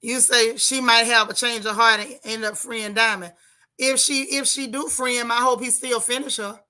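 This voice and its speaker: A hoarse woman who hosts a channel. She reviews a TV show in a casual livestream, talking straight to her chat with animated, opinionated takes. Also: fairly high pitch, moderate pace.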